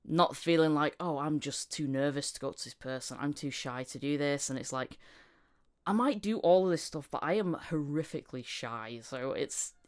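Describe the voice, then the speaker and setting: Woman podcast host, smooth clear voice, chatty and casual, kinda self-deprecating. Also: low voice, normal speed. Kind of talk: casual interview chat.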